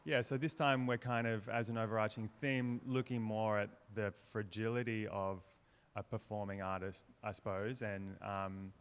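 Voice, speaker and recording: A man's voice: on the low side, fairly even intonation, normal speed, clean tone. A man, explaining his work casually and thoughtfully as an interview guest. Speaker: theatre maker being interviewed.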